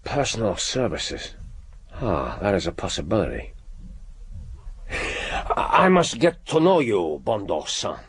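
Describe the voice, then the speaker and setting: An actor playing a commanding, jovial intelligence chief in a radio drama, ceremonious and theatrical. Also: male voice, lowish voice, sing-song, slow pace, breathy edge, exaggerated voice. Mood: shrewd, courteous.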